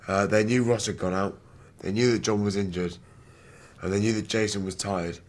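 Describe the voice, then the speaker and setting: A British man in his twenties with a rough, damaged voice, speaking candidly as a wheelchair rugby player in an interview. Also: fairly low pitch, steady tone, normal speed. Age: 20s. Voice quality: damaged, rough voice from throat surgery. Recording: background noise on location.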